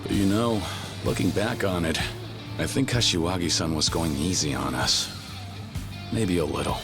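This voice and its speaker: A man's voice: sits low, moderate pace. An actor voicing a stoic, terse young male yakuza brawler in an English game dub, with a dry, clipped, understated delivery. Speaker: game character. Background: game soundtrack music.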